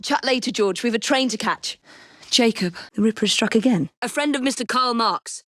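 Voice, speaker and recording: An actress with an English accent playing a poised, determined young Victorian assassin in a video game, speaking in crisp, formal phrases with dry wit. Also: female voice, on the high side, moderate pace, fairly bright tone, no room sound. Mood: composed, dry. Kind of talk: dramatic video game voice acting.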